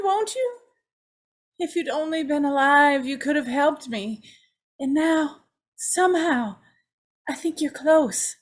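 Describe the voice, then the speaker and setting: Woman performing, troubled young heroine, old-time radio melodrama, very high pitch, emotional, hesitant, dramatic swings. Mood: weeping, pleading. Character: distraught young condemned woman confessing to dead mother.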